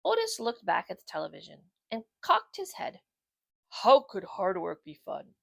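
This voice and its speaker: A woman reading a children's picture book aloud as a storyteller, in an expressive, deliberate way. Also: medium pitch, creaky, dry recording.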